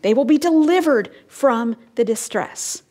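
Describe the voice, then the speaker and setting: A woman preaching an expository sermon, emphatic and rising and falling in tone, sometimes dropping to a hush and asking her listeners questions. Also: a little high, animated, measured pace. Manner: emphatic, rising and falling, drops to hushed lines; explains and asks the listeners questions.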